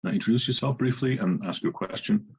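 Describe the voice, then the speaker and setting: A man moderating an online panel, brisk and lightly joking, on dull lo-fi call audio. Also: fairly low pitch, creaky, darkish tone, slightly rough.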